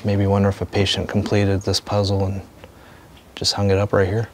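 A man narrating a walk through an abandoned building, calmly pointing things out as he goes. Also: normal speed, a little hiss or hum. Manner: low-key, observational, thinking aloud. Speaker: urban explorer host. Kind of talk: walking vlog narration.

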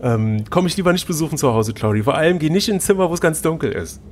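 Lively man, playful podcast reader. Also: on the high side, fast talker, slight background noise. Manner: playful, teasing. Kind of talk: gamebook read-aloud with casual banter.

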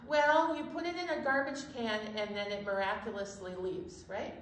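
A woman's voice: fairly high pitch, steady tone, normal speed, a bit bright, slight background noise. A middle-aged woman hosting a children's storytime, talking straight to young viewers with emphasis. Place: indoor library storytime set, seated. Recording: echoey room, far from the mic.

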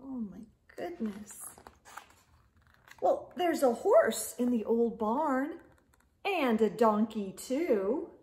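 A middle-aged woman hosts a children's storytime. Her voice is high and swings widely in pitch, with an expressive, sing-song delivery. She takes the words slowly, as if for very young listeners. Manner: expressive, sing-song delivery with animal sounds and hushed asides. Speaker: children's storytime host reading aloud. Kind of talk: picture-book read-aloud for young children. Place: living room or home library with bookshelves.

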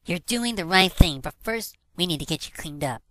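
A man voicing a supportive young female pony, gentle but firm, in a story read-aloud. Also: on the high side, expressive, normal speed, voice pushed up. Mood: encouraging. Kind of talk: fan fiction read-aloud.

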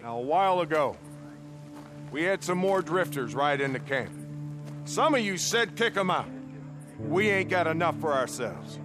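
A man acting a video game survivor camp leader who addresses his people in an emphatic rallying speech with deliberate pauses. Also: fairly high pitch, animated, close to an everyday voice, rough recording. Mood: earnest, resolute. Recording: music under the voice. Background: music.

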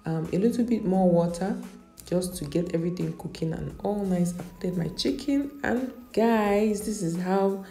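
A woman's voice: lowish voice, moderate pace, warm tone, faint music behind. Chatty woman in an echoey kitchen. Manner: chatty, with many fillers and self-corrections. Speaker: cooking vlogger. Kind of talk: casual meal-prep narration. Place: home kitchen.

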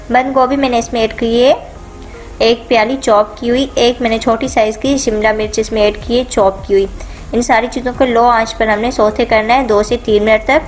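A woman hosting a home cooking channel, calmly talking viewers through each step of a recipe in a voice-over. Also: on the high side, rough recording. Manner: calm, instructive, repeats each step.

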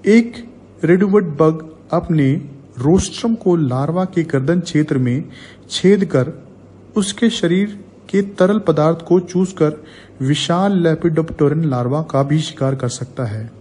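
A man narrating a scripted agricultural training film as a voice-over, reading slowly and evenly in formal wording. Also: on the high side, a little hiss or hum. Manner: slow, even reading with formal Hindi wording.

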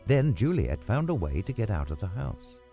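A man reading a scripted story as narrator in a measured, dramatic way. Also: lowish voice, very animated, warm tone. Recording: music under the voice. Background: background music.

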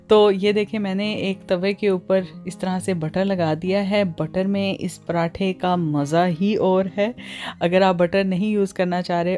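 A Pakistani-accented woman narrates a step-by-step recipe as a cooking host. Her voice sits a little low for a woman. She slips English words and phrases into her sentences, with faint music playing underneath. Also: dark tone. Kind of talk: recipe tutorial voice-over.

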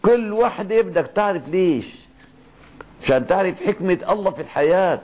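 An older man with a Levantine Arabic accent giving a Ramadan evening sermon in a mosque, speaking in a fatherly way with slow, emphatic repetition. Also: high voice, expressive, a little mellow, muffled recording. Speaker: sheikh. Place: mosque, seated at a pulpit desk. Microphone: two stand microphones on the desk.

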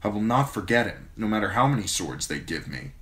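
A man voicing a dutiful young soldier who answers plainly and quietly. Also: lowish voice, big pitch swings, brisk. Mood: earnest. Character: earnest young man, a sworn brother of a military order.

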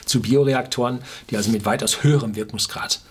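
A man's voice: mid-range voice, fairly quick, a little hiss or hum. A man in his sixties from Germany's Ruhr area, giving an opinionated, informal explainer to camera, emphatically and with rhetorical questions. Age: 60s. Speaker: video blogger. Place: small furnished room indoors.

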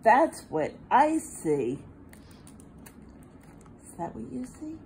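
Slow, sing-song woman reading a picture book. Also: a little high, big pitch swings, darkish tone. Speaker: children's storybook reader. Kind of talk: read-aloud picture book for young children. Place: indoors at a table. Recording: faint background room noise.

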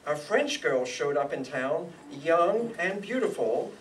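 Man acting an animated, high-voiced anxious businessman. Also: slow pace. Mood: pleading. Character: anxious, scheming married businessman hiding an affair. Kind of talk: old-time radio acting before an audience. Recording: live stage pickup, distant, background noise.

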